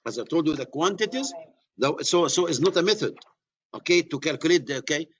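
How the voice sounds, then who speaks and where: A man's voice: a little high, expressive, brisk, highs cut off, a little hiss or hum. A middle-aged man with an Egyptian accent teaching a surveying class, repeating key words and restarting phrases as he explains. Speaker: surveying instructor. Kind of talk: classroom lecture.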